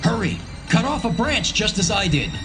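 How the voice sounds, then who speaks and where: Abimelech, a high proud warlord. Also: male voice, big pitch swings, moderate pace, over music. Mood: commanding. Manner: commanding, proud. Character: fierce warlord Abimelech, adult man.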